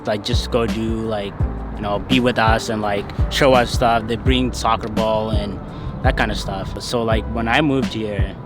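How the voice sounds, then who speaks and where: A man's voice: fairly low pitch, fairly even intonation, measured pace. Young man from Ethiopia, music behind. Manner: loose, hesitant, many fillers. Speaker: interviewee. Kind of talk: casual personal storytelling.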